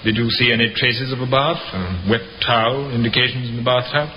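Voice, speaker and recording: An actor playing an insistent, methodical defence barrister with formal speech in a 1950s radio drama, on a dull, noisy recording. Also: male voice, medium pitch, fairly even intonation, fairly quick, natural voice. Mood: probing, insistent.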